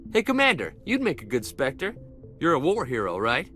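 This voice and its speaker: An actor playing an excitable young space marine in a video game, earnest and keen, deferring to his commander. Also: mid-range voice, moderate pace, faint music behind. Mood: eager, excited.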